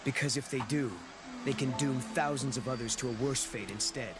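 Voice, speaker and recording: An actor playing a serious, resolute young time traveler in a game cutscene. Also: male voice, medium pitch, normal speed, natural voice. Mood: solemn. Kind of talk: dramatic game cutscene voice acting. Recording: loud music under the voice.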